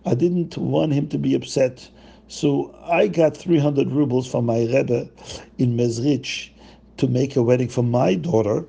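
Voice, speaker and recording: A man's voice: medium pitch, fairly even intonation, unhurried, gravelly, warm tone, husky. A man voicing a humble, poor old holy man who stammers meekly. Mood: earnest. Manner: stammering, meek.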